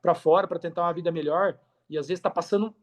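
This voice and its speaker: A man's voice: on the high side, steady tone, fast talker. A Brazilian man speaking casually and earnestly as a podcast guest, repeating phrases for emphasis. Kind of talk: casual interview talk.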